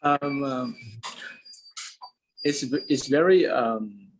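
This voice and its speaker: A young man from Medan whose first language is Hokkien, answering interview questions in a halting way with many pauses. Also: medium pitch, a little hiss or hum, rough recording. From Medan, Indonesia. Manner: hesitant, many "uh" fillers. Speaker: interviewee, polyglot.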